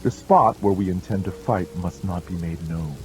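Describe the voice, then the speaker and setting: A man narrates an audiobook in a deep voice. His delivery is solemn and measured, declaiming each maxim as dramatized scripture-like instruction. Music plays clearly behind him, with the sound of rain. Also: a little mellow, poor audio.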